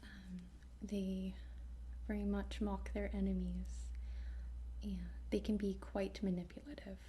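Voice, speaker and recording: A woman speaking in a soft, breathy whisper as a guide in an ASMR roleplay, hesitant, with pauses between short phrases. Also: medium pitch, level tone, slight background noise. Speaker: ASMR roleplayer playing a dragon training guide.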